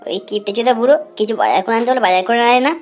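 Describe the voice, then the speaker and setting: A woman's voice: fairly high pitch, animated, rapid-fire, darkish tone, natural voice. A woman voicing a scheming young widowed daughter-in-law in an amateur cartoon, brash and colloquial. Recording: music under the voice.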